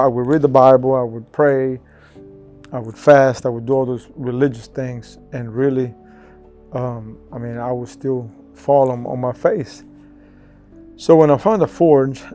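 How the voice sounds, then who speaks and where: A man, giving an earnest, halting personal faith testimony that often restarts mid-sentence. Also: mid-range voice, warm tone. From El Salvador. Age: 40s. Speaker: testimony speaker.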